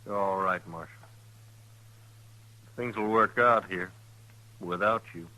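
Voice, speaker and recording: An actor playing a lonely, resigned American stage station keeper in a radio western, terse and subdued. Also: male voice, low voice, slow, bright, forceful tone, no room sound, exaggerated voice, poor audio. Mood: guarded. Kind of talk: old-time radio western acting. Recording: vintage radio recording.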